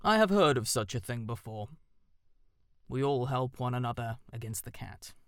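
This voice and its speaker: A narrator voicing a stately old male owl who speaks in a formal, measured and aloof way. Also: medium pitch, expressive, clean tone, no echo, clean studio sound, natural voice. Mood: grave, formal.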